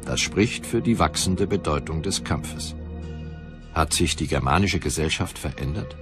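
A man narrating a scripted documentary in a measured, factual manner. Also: extremely low pitch, music in the background.

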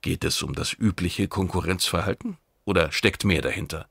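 A man's voice: low voice, moderate pace, gravelly, a bit bright, husky, no room sound, studio quality, voice pushed down, full character voice. A man voicing a cautious, deferential alien oracle page in a science fiction audiobook, speaking in a subdued, careful way. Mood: probing, careful.

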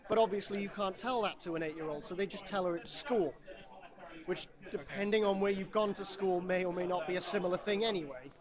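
A man from Wales, a comic creator chatting casually about his book at a convention. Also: high-pitched. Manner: loose, rambling, with fillers and dry jokes. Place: convention floor. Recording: busy convention crowd behind.

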